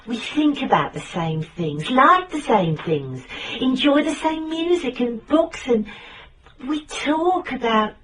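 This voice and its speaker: Earnest, high-voiced British lady investigator. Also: expressive, distant mic, slight background noise, poor audio. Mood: tender, earnest. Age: middle-aged. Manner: earnest, halting. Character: lovestruck, defensive middle-aged woman private investigator. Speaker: actor. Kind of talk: radio drama acting.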